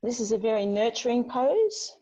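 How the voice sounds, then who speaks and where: A woman teaching a yoga class, giving gentle, unhurried guidance with pauses between phrases. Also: fairly high pitch, sing-song. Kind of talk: guided yoga class instruction. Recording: slightly dull, lo-fi sound.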